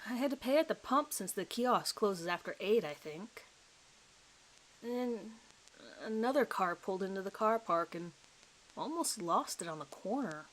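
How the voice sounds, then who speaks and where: A woman's voice: mid-range voice, poor audio. A nervous suspect in his thirties a man as acted out by a woman gives his account in a dramatized police interview. He is hesitant and apologetic, rambling as he tries to recall details.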